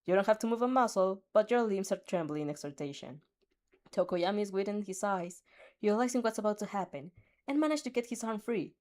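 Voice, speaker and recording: A woman's voice: mid-range voice, animated, brisk, a little mellow. A woman reading a fan story aloud in second person, often stumbling over words and restarting. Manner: reads with frequent slips, restarts and giggles. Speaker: fanfiction reader.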